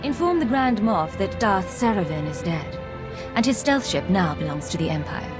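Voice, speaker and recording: An actress playing a cool, resolute Imperial agent in a game, with firm, businesslike speech. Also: female voice, medium pitch, big pitch swings, normal speed, music in the background, close to an everyday voice. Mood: cold defiance. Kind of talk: video game cutscene acting.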